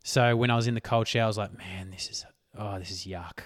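A man hosting a solo motivational podcast, talking emphatically and swearing casually, his voice worn hoarse. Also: fairly low pitch, steady tone, fast talker, dry recording, studio quality. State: losing his voice. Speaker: podcast host.

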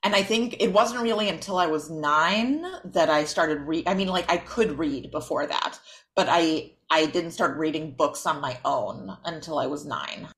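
A young woman, a writer and critic, talking in a relaxed interview and pausing often with ums to find her words. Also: low-pitched. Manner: thoughtful, many ums and restarts. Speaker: podcast guest, author and critic. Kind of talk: casual interview conversation.

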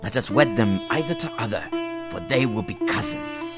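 A haughty old-world vizier. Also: male voice, mid-range voice, huge pitch swings, normal speed. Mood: scheming. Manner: imperious, scornful. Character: proud, haughty elder brother vizier. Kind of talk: radio drama acting. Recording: voice over loud music, from a distance.

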